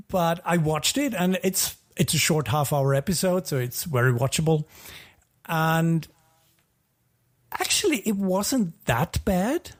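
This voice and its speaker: Guy with a highish voice doing casual podcast review chat, kinda hesitant, keeps restarting and hedging. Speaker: podcast co-host.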